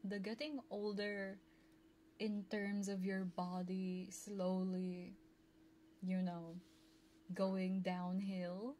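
Female ASMR streamer, leisurely and soft-spoken, playful chat with viewers, close-miked with clear noise behind her. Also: medium pitch, flat delivery, drawn-out words. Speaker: ASMR livestreamer. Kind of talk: casual ASMR livestream chat, reading and answering viewers. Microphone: close binaural ear microphone.

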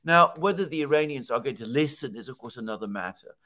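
A man's voice: mid-range voice, expressive, fast, sharp tone. A man in his sixties giving conversational political analysis, explaining emphatically and posing rhetorical questions. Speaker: political commentator. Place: home study with bookshelves. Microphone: headset on video call.

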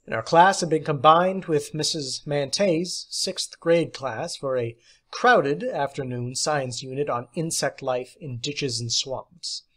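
A man's voice: on the high side, slow, fairly bright tone. A man reading a short story aloud as scripted audiobook narration. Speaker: audiobook reader.

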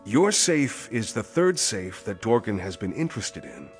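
Low-voiced, suspicious police detective. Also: male voice, big pitch swings, moderate pace, faint music behind. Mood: puzzled, suspicious. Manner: probing, insistent, questioning. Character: brisk, suspicious male police detective, hard-nosed interrogator. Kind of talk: pulp thriller audio drama acting.

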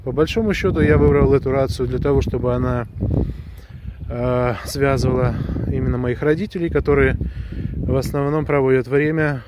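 A man talking casually to viewers while walking outdoors, explaining things with drawn-out hesitations. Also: medium pitch, fairly even intonation, background noise. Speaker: vlogger reviewing a gadget. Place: outdoors on a woodland path, walking. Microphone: handheld camera or phone held close while walking.

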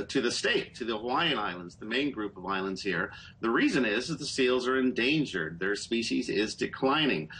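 A middle-aged man talks through a webcam from a small indoor room, explaining political stories in a calm, measured way. His voice has a bright edge. A faint background hiss sits under the call audio. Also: mid-range voice, rough recording. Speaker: political reporter. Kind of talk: live news interview over video call. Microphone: video call webcam.

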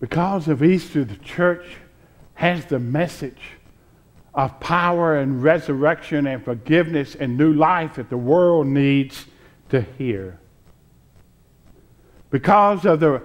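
An American man in his sixties preaching a sermon as a pastor, deliberately, in short phrases with frequent pauses. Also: fairly high pitch. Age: 60s. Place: church sanctuary, at a pulpit before a choir.

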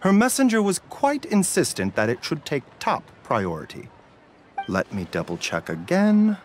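Courteous, expressive gentleman doctor. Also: on the high side, a little hiss or hum. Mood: calm, courteous. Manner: polite, measured, formal phrasing. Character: gentle, courteous, soft-spoken male doctor of herbal medicine. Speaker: video game character. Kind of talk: scripted game dialogue. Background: music.

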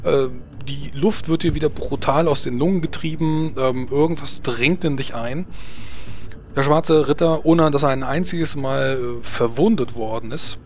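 Man game-mastering, conversational and halting. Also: a little high, slight background noise. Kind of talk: narrating a tabletop role-playing game.

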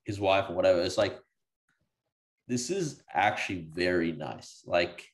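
Laid-back low-voiced man saying "bro". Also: unhurried. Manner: relaxed, slangy, conversational, says "bro" and "like" often. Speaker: podcast co-host. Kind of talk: casual group discussion. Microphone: video call.

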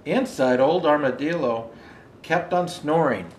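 An older man reads a children's storybook in an expressive, unhurried way. His voice sits a little high for a man, the pace is slow, and the room adds noticeable echo. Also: slight background noise. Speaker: storyteller dressed as Santa Claus. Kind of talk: reading a children's picture book aloud. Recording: roomy indoor echo.

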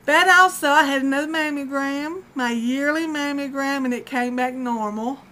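A woman's voice: sits high, moderate pace, bright, forceful tone. A woman, chatting casually in a rambling vlog at home, often breaking into laughter. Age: 50s. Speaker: vlogger. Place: dim room at home, indoors. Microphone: webcam at close range.

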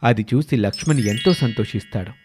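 A man's voice: mid-range voice, brisk. A man reading scripted story narration as the narrator. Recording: music under the voice. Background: background music.

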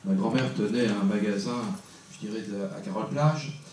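A man in his sixties speaks through a handheld mic at a local meeting, measured and full of small fillers. His voice is mellow and mid-pitched. The room is very echoey and the mic sounds distant. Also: a little hiss or hum. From Normandy, France. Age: 60s. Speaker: mayor, substitute candidate. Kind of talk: introducing himself at a campaign meeting. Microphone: handheld mic, filmed by a far camera. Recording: very echoey room heard from afar.

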